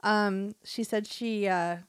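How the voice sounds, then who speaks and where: A woman's voice: mid-range voice, steady tone, takes it slowly, smooth, no room sound. A woman in her thirties co-hosting a podcast in casual, giggly chat, joking and swearing freely. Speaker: podcast co-host.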